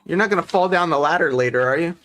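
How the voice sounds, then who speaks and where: A man's voice: fairly high pitch, fast, a bit bright, slight background noise. A middle-aged man hosting a hobby livestream, chatting casually and teasing as he works at his bench. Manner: teasing, joking. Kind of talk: casual chat while building model kits. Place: at a hobby workbench on a group video call.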